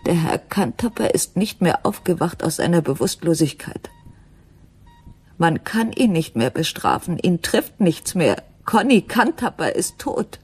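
An actress playing a distraught, quietly accusing wife in a German radio crime drama, halting and intense, often dropping toward a whisper. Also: female voice, lowish voice, very animated, husky, full character voice. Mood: grieving, torn.

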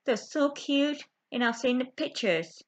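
A young woman chatting to her webcam from a small bedroom, halting, with many fillers and restarts. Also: high-pitched, animated. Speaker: vlogger. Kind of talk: casual chat to camera. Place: small bedroom with window blinds. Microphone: webcam at close range. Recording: dull lo-fi webcam audio.

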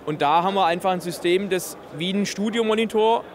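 A man in his thirties with a noticeably high voice presents a product as an exhibitor. He explains technical details with enthusiasm, speaking into a handheld interview microphone. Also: a little fast. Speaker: exhibitor presenting a loudspeaker system. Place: busy trade fair booth in a large hall. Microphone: handheld interview microphone held by interviewer.

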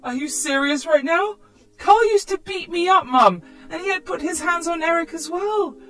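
A woman's voice: very high voice, expressive, normal speed, fairly bright tone, soft background music, pitched above the performer's own voice. A woman voicing a defiant, exasperated mother in a dramatised story. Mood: outraged. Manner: exasperated, emphatic. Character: indignant, defiant young mother standing her ground.

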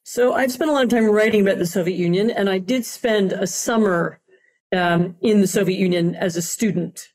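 A middle-aged woman, a guest historian in a podcast interview, explaining in a measured way with frequent "um" and "you know". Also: medium pitch, a little mellow, rough recording.

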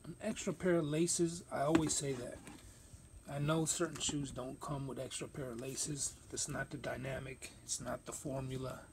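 A man of ordinary pitch riffs casually on a pair of sneakers, full of slang and loose asides. He sounds close to a handheld phone in a cluttered storage room. A faint hiss sits under the voice. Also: fairly even intonation, moderate pace. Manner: loose, rambling slang-heavy talk. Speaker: sneaker reviewer. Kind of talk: casual unboxing vlog. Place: cluttered room stacked with shoe boxes.

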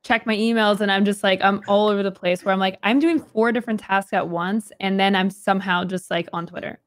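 A woman's voice: mid-range voice, fairly even intonation, brisk. A woman in her twenties, a guest on a casual video chat, rambling and poking fun at herself. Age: 20s. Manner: rambling, self-mocking.

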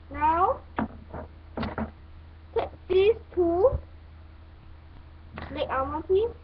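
A woman giving halting, step-by-step instructions in a hands-on toy building tutorial. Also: high-pitched. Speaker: toy review host. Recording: muffled phone-like audio, clear background noise.

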